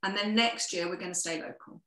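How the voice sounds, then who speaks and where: A woman's voice: medium pitch, steady tone, a little fast, bright, forceful tone, reverberant, rough recording. A woman, the guest in an interview, giving earnest practical advice with frequent restarts. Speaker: sustainability guest. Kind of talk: interview conversation.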